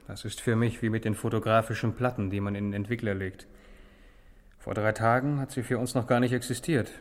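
An actor playing a reflective, measured police colleague in a German radio crime drama. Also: male voice, on the low side, natural voice.

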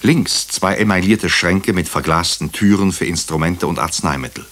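An actor playing a wry hospital doctor who narrates a crime case in a radio drama, dry and ironic. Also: male voice, deep voice, normal speed, fairly bright tone. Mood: measured narration.

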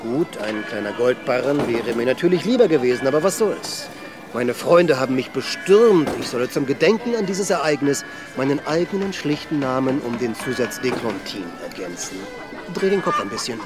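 An actor playing a talkative, self-satisfied, theatrical street portrait artist in a radio drama. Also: male voice, mid-range voice, sing-song, normal speed, natural voice. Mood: boastful, chatty. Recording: music behind the voice.

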